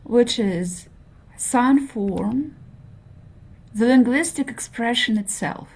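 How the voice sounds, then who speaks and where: Slow, hesitant woman lecturing. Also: fairly high pitch, lively intonation, darkish tone, breathy edge. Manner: hesitant, many fillers, checks in with okay. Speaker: linguistics lecturer. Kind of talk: introductory university lecture.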